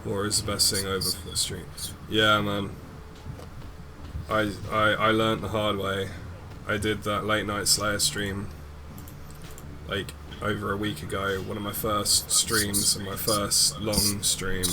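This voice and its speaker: A young man, chatting in a relaxed way during a gaming stream and swearing casually. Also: fairly low pitch, unhurried, background noise.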